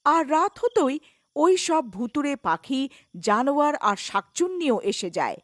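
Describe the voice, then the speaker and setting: A woman narrating a scripted animated story as its storyteller. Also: high-pitched, normal speed, no echo, studio quality.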